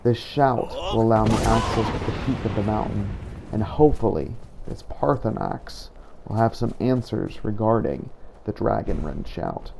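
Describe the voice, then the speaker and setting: A man doing in-character role-play commentary over a video game, brooding and deliberate in short, terse statements. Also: on the low side, vocal fry, a little mellow. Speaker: game player narrating as his character. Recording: faint noise behind voice.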